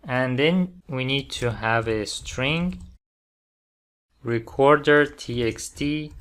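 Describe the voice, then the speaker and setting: A man presenting a programming tutorial step by step, phrasing things deliberately in short, halting fragments. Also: medium pitch, a bit bright, clean tone. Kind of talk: step-by-step software tutorial narration.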